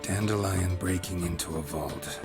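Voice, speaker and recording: Man playing a low, gruff, world-weary monster hunter. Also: moderate pace, close to an everyday voice. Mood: dry, probing. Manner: terse, dry, deadpan. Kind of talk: video game cutscene acting. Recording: music under the dialogue.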